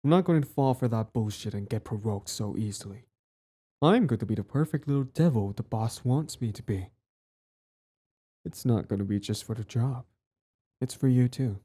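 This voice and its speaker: A man's voice: lowish voice, dark tone, natural voice. A man playing a cocky but easily flustered villain devoted to a date, in a casual, wry romantic audio roleplay. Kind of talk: one-sided romantic audio roleplay, conversational.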